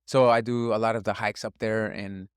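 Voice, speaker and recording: Low, smooth-voiced man, earnest and halting. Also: level tone, no echo, clean studio sound. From Northern California. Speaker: chef and restaurant guest. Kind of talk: casual interview conversation.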